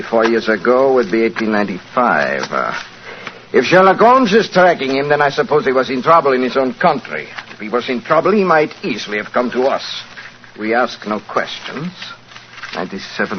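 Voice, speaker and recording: An actor playing a formal, grave French colonel of the Foreign Legion, speaking deliberately with a French accent in 1940s radio style. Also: male voice, medium pitch, natural voice. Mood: matter-of-fact. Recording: dull, noisy old radio broadcast.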